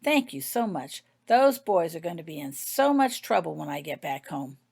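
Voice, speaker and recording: A woman's voice: low-pitched, big pitch swings, moderate pace, gravelly, darkish tone, husky, heavily acted. A woman voicing a tired, harried but polite mother in a storybook reading. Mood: grateful, tired. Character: harried, tired young-children's mother, grateful.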